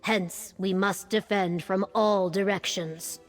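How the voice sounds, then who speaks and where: A woman playing a solemn female military commander in a video game, formal and authoritative in a measured way. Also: mid-range voice, fairly even intonation, faint music behind, close to an everyday voice. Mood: grave, steady. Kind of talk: video game character acting.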